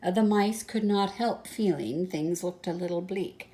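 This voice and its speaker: An older woman reading a picture book aloud as a grandmother, expressive and unhurried, with some hesitation. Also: on the low side. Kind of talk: storybook read-aloud with soft asides.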